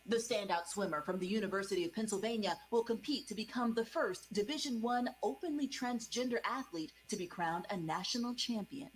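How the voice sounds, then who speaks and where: A woman delivering a scripted news report at a mid pitch. Her delivery is crisp and measured, in the usual broadcast way. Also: a little hiss or hum, poor audio.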